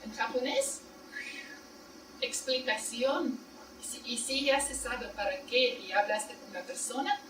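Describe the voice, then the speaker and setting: A woman with a slightly high voice gives a seminar lecture in Spanish, her second language. She lays out points one by one and keeps checking in with her listeners. The recording comes from a distant mic in an echoey room, with loud noise underneath. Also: a little slow. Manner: explains in plain steps, checks listeners with "¿no?" and "¿sí?".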